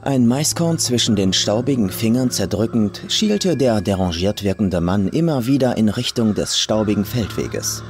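A man's voice: lowish voice, animated, moderate pace, hardly any pauses, darkish tone. A man reading a horror story as a dramatic scripted narration, like a professional audiobook narrator. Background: faint music and atmospheric sounds.

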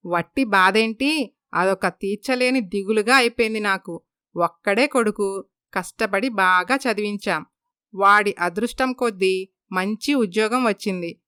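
A woman plays a grumbling older mother-in-law in a family drama story. She is bitter and sarcastic, with a bright, clear voice full of complaint. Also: medium pitch, no echo, clean studio sound, natural voice.

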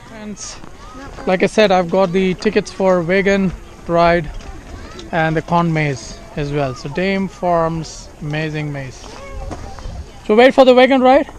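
A man's voice: high-pitched, takes it slowly, pauses often. A man in his thirties with a Tamil accent, casually narrating a travel vlog outdoors with lots of fillers, filmed on a handheld camera at arm's length. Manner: chatty, filler-heavy, switches between languages. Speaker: vlogger. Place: outdoors at a farm and corn maze. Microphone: handheld action camera at arm's length.

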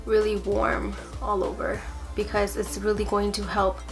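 A young woman with a stuffy cold talks casually through a makeup tutorial in her bedroom, chatty and full of fillers, softer when focused on applying makeup. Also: mid-range voice, flat delivery, unhurried, vocal fry, music in the background. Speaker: beauty vlogger. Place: bedroom at home.

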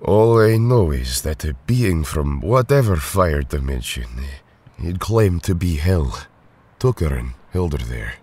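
A solemn, protective strongman hero acted by a man in an audio drama. His formal speech is very low and husky, with a lively rise and fall. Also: unhurried, full character voice. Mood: solemn, sorrowful. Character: earnest, solemn foreign strongman hero, protective older brother.

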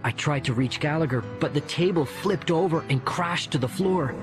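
A man's voice: a little high, moderate pace. A man reading a first-person audiobook story expressively, acting out the dialogue. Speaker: audiobook narrator. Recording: music behind the voice. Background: music.

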